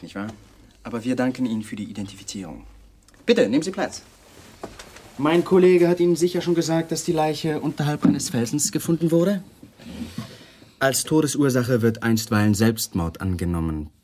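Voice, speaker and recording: A courteous but probing male police lieutenant in a radio crime drama, played by an actor. His formal, businesslike questions move with very lively intonation. There is faint noise on the recording. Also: mid-range voice, normal speed, lightly acted. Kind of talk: 1980s radio play acting.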